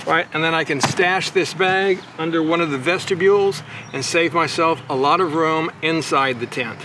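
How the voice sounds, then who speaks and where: Older man, slightly high voice, casual show-and-tell, outdoor backyard, clear ambient noise behind. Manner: conversational, explains step by step with frequent "again" and "right". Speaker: motorcycle vlogger. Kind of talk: casual gear demonstration.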